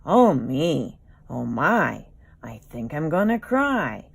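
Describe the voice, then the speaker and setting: Woman acting out a whimpering male dinosaur, storybook character, slow drawn-out moaning, husky, greedy and lazy. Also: low-pitched, sing-song, exaggerated voice. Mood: miserable, pleading.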